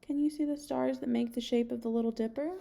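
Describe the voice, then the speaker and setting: A young adult woman reading a picture book aloud like a teacher, pausing and putting questions to her young listeners. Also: a little high, fairly even intonation, creaky, mellow. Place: home kitchen, indoors.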